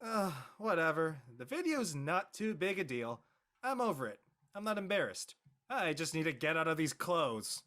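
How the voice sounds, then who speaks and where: A man's voice: on the high side, big pitch swings, normal speed, pushed and bright. A man playing an awkward, easily embarrassed teenage boy with a nervous, stammering delivery in a casual audio drama. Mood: sheepish.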